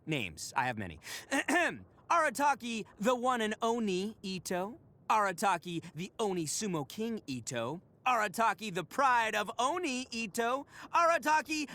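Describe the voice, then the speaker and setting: Casting: a young oni delinquent, video game, cocky and goofy, forceful tone, very animated delivery. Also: male voice, very high voice, heavily acted. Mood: boastful. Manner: cocky, slangy, theatrical delinquent bravado. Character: brash, boastful, goofy young oni gang leader.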